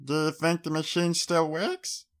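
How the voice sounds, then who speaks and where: A man voicing an earnest, caring little girl pony in a slow, sweetly insistent way for an audiobook. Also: fairly high pitch, flat delivery, voice pushed up. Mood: curious.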